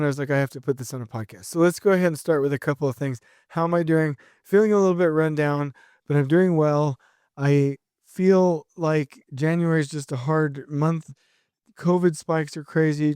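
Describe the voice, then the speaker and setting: A man's voice: a little high, clean tone, no room sound, clean studio sound. Upbeat male podcaster, slightly scratchy throat. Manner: energetic, rambling, many restarts. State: sore throat, a bit scratchy. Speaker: podcast host. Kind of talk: solo podcast monologue.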